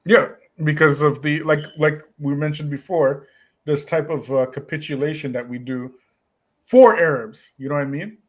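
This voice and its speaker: Animated man hosting a talk show. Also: fairly high pitch. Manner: animated, quick, laughing asides. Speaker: host. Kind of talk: casual chat.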